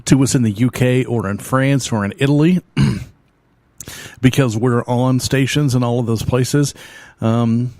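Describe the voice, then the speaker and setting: A man's voice: medium pitch, fairly even intonation, a little slow, a little mellow, no echo. Rambling Southern man hosting a metal show. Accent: Southern American. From United States. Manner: rambling, loose and self-deprecating with many fillers. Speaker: metal radio show host. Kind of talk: casual radio show chat. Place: home studio.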